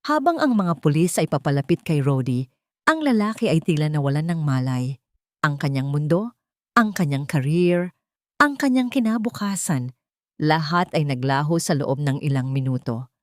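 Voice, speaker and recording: A woman reading a dramatic story as narrator, in a measured, solemn way. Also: sits low, big pitch swings, dry recording, clean studio sound.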